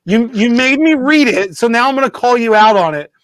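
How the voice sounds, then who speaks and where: Man, high-pitched voice, casual rambling host, self-correcting, joking, dry close sound. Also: expressive, a little fast, fairly bright tone, no echo. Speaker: host of a model railroad show. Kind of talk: casual live-stream chat.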